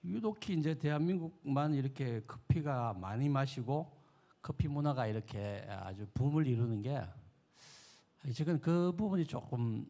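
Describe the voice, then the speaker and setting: Fast-talking man asking from the audience. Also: medium pitch, expressive, mellow. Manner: polite, searching. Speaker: audience member asking a question. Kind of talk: question from the floor.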